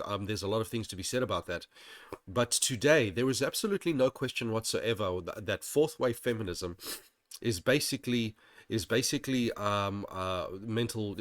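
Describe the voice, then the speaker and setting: A man's voice: fairly low pitch, no echo, clean studio sound. A man hosting his channel, talking in a rambling, digressive way with many restarts, sounding slightly nasal while getting over an illness. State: occasional coughing. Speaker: channel host. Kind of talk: casual commentary monologue.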